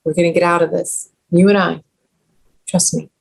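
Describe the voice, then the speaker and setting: A woman reading the part of an aging, fiercely protective ranch grandmother at a screenplay table read, in a hushed, firm voice. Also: on the low side, flat delivery, normal speed, creaky, breathy edge, poor audio. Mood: urgent.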